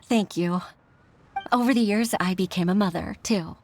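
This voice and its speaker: A woman's voice: medium pitch, normal speed, slight background noise, close to an everyday voice. A woman playing an earnest, humble secretary and mother, speaking politely and heartfelt in an anime video game. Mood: sincere, admiring.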